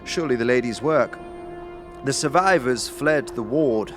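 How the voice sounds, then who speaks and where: A man voicing a mischievous, worldly fixer in an audio drama, telling old lore in a wry, measured way. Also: medium pitch, animated, over music, lightly acted. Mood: confiding. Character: Sebastian, mischievous worldly male fixer, knowing lore-teller.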